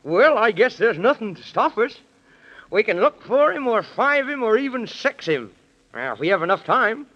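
A man's voice: high voice, very animated, normal speed. A man playing a silly, fussy, contrary Man in the Moon with exclamatory delivery, in 1930s radio acting style. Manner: fussy, exclamatory, giggly nonsense logic. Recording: dull old 1930s radio recording.

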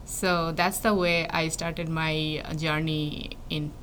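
A woman's voice: deep voice, little pitch movement, slow pace, fairly bright tone. A young woman from Bangladesh, a plant breeding PhD student, answering interview questions thoughtfully with many fillers and restarts. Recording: faint background noise.

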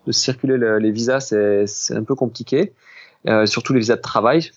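A man in his thirties speaks in a relaxed, unhurried way, recounting his working life to an interviewer. His voice sits at a medium pitch, and the recording sounds a little dull and lo-fi. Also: husky. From southwest France. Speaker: pastry chef and business founder. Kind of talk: casual interview conversation.

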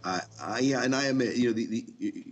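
A man hosting a podcast in casual conversation, with halting, tentative phrasing. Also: medium pitch, husky. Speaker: podcast host interviewer. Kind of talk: casual chat.

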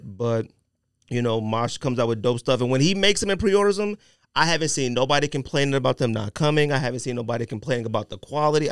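A man hosting a casual sneaker podcast, talking in slangy, animated riffs and teasing his co-hosts. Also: medium pitch, clean tone, dry recording, studio quality. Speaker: podcast host.

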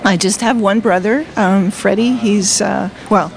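A woman's voice: medium pitch, steady tone, a little slow, rough recording. Cheerful woman in her sixties, laughing easily. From Delaware. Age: 60s. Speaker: guest, retired teacher. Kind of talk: personal testimony in conversation. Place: coffee shop.

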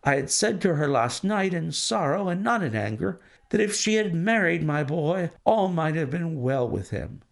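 Frantic older banker, high, lively pleading voice, a little gravelly, as a man puts him on. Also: normal speed, hardly any pauses. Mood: grieving. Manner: anxious, pleading, breathless exclamations.

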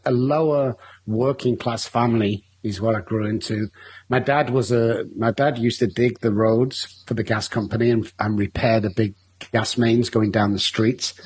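A man's voice: mid-range voice, steady tone, normal speed, a little mellow, slightly rough. A man with a Manchester accent, a guest telling his life story openly in a long, rambling interview answer. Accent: Mancunian English. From Manchester, England. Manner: candid, rambling storytelling with repeated restarts.

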